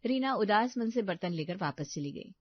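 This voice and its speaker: A woman's voice: mid-range voice, lively intonation, moderate pace, no room sound, lo-fi sound. A woman storyteller reading scripted narration of a story.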